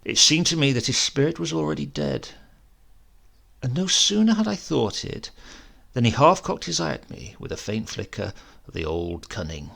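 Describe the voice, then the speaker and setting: Male audiobook reader, English accent, mid-pitched with a gravelly creak, measured and expressive literary narration.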